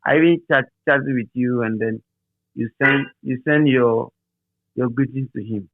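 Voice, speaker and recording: A man's voice: medium pitch, a little slow, no room sound. Ghanaian man on a thin phone line. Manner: repeats and restates phrases for emphasis. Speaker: interviewee, radio DJ. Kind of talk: casual reminiscing interview.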